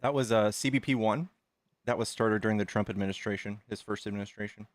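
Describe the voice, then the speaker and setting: A man hosting a casual debate, asking rapid, pointed questions. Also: on the low side, no room sound. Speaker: debate host.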